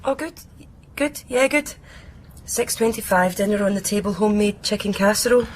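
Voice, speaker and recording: An actress playing a secretive, nervous, downtrodden wife with a breathy voice in an intimate radio drama. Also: female voice, mid-range voice, moderate pace, slight background noise, lightly acted. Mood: anxiously eager.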